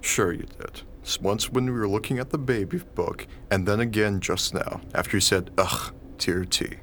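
An actor as a grumbling, skeptical schoolteacher husband. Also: male voice, fairly low pitch, moderate pace, a bit bright, natural voice. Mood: irritated. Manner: grumbling, exasperated. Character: weary, irritable, skeptical young schoolteacher husband. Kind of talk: radio drama acting. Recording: faint background noise.

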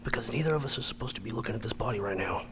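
A brisk police captain played by a woman with an extremely low voice and quick delivery. The captain has a soft side, in a radio drama. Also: lively intonation, background noise. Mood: hushed, hurried. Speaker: audio drama actor. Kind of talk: science fiction radio drama acting.

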